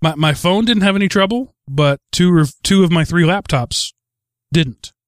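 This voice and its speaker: A man hosting a casual chat show, talking in a rambling, halting way and often repeating words as he goes. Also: high voice, no room sound, studio quality. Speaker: host of a tech chat show.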